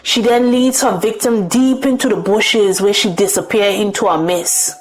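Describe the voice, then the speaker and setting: A woman's voice: medium pitch, normal speed. A woman narrating a folktale dramatically over music. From Caribbean, St. Maarten. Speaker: storyteller. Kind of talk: dramatic scripted folklore narration.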